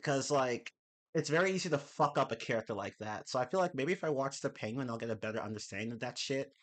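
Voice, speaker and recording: A man's voice: medium pitch, animated, fast talker. A young man chatting casually on a live stream, reading and answering chat messages. He rambles, corrects himself and swears casually. His voice is a little off, left over from a cold. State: slightly off voice after a cold.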